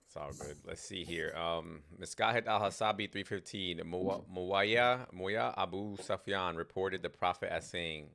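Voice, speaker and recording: A man's voice: low-pitched, normal speed, gravelly, hardly any pauses, fairly bright tone. A man hosting a podcast, reading old texts aloud and joking with guests.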